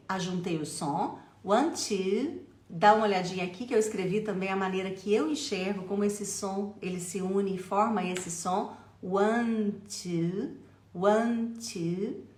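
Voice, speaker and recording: A Brazilian woman with a Brazilian accent, teaching English pronunciation in a lively way and slowing down to drill phrases for the listener to repeat. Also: medium pitch, echoey room. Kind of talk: pronunciation lesson.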